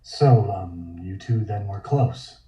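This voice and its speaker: A man playing a reserved, probing police detective in a script table read, with measured, formal questioning. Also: on the low side, dark tone, roomy sound, recorded from a distance. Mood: careful, probing.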